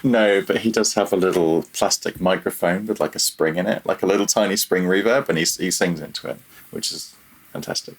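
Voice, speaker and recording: A man's voice: sits low, brisk, husky. A middle-aged British man chatting casually as an interview guest, rambling informally and trailing off mid-thought. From United Kingdom. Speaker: interview guest, freelance audio programmer. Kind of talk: casual chat.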